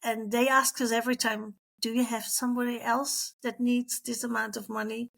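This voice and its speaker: A woman's voice: a little high, flat delivery, moderate pace, vocal fry, a bit bright. A middle-aged woman telling personal stories as an interview guest, acting out the dialogue as she goes. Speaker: interview guest, former missionary.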